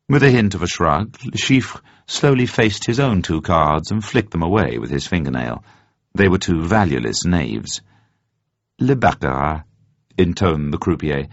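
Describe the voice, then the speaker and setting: A man narrating a novel as a scripted dramatic reading, in a measured, deliberate storytelling manner. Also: low-pitched. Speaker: audiobook narrator. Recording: roomy, slightly dull sound.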